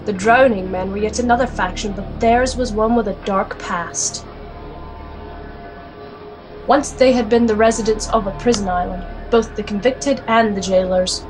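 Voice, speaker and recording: A woman's voice: mid-range voice, fairly even intonation, moderate pace, lightly acted, low-quality recording. An actress playing a determined female alchemist warrior, serious and resolute, in a scripted fantasy audio drama. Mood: solemn narration. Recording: music under the voice.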